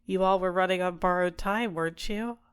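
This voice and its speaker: A woman voicing a possessive, manipulative villainess in an intimate ASMR roleplay, soft-spoken and coaxing, teasing and sometimes whispering to a captive. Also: on the low side, fairly quick, smooth, lightly acted. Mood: coolly manipulative.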